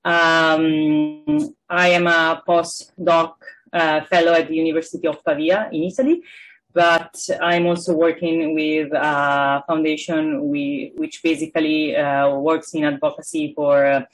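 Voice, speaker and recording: A woman researcher introducing herself informally at an academic workshop, with halting, hesitant phrasing. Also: sits low, level tone, poor audio.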